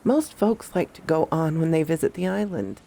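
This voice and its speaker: A woman playing a troubled female park ranger who recounts her horror story in a measured, confiding first-person narration. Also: sits low, lively intonation, creaky, warm tone, exaggerated voice. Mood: wary, recounting.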